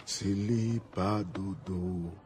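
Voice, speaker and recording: Low-voiced, proud assassin. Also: male voice, steady tone, very slow, darkish tone, slight background noise. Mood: guarded. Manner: terse, guarded, resolute. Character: stern, proud freed-slave assassin, adult man. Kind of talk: video game dramatic acting.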